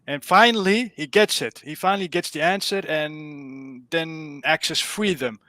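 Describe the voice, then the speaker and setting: Dutch-accented male caller, fairly high and bright, dry close sound, earnest and groping for words. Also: a little slow, dry recording. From Netherlands. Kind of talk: casual explanation on a live stream.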